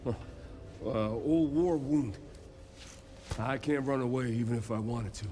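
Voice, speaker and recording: A man playing a blunt, gruff wounded survivor with a bad leg in a video game cutscene. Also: mid-range voice, measured pace, warm tone, natural voice. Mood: guarded. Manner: blunt, sweary, informal. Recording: music under the voice.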